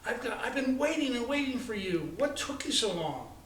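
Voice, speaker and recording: An American man voicing an excitable, bossy little girl in a children's storybook, chatty and insistent. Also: very high-pitched, normal speed, pitched above the performer's own voice, full character voice. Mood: excited. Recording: echoey, distant, noisy room recording.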